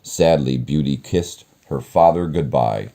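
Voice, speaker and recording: Male storybook narrator, very deep pitch, unhurried pace, expressive, measured read-aloud for children. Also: gravelly. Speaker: storybook reader.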